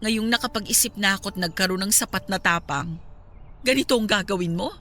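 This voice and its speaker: Bright-voiced, resolute wronged Filipino wife played by a woman. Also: medium pitch, brisk. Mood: hurt, reproachful. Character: hurt, resolute young mother leaving her husband. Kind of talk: radio drama acting.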